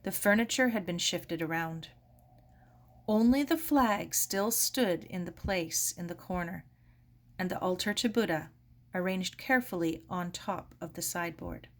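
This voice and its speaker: A woman reading a children's novel aloud as narrator, in a measured, expressive storytelling manner. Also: fairly low pitch. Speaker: story reader.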